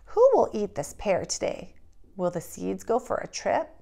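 A middle-aged woman speaking as a preschool teacher, deliberately and expressively addressing young children in a simple read-aloud, instructional style. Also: mid-range voice, very animated, creaky, dark tone.

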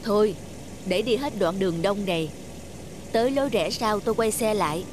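A man voicing a big, kindly, middle-aged driver in a cartoon, easygoing and unhurried. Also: sits high, background noise, lightly acted. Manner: genial, unhurried. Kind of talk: cartoon voice acting.

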